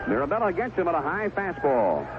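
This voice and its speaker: Through a thin, noisy old AM broadcast comes an American man calling a baseball game. His voice sits fairly high and rises and falls with lively intonation. He delivers each pitch in short, clipped phrases, leaving easy pauses between them. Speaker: baseball play-by-play radio announcer. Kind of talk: live baseball radio commentary. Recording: tinny, noisy 1970s AM radio broadcast.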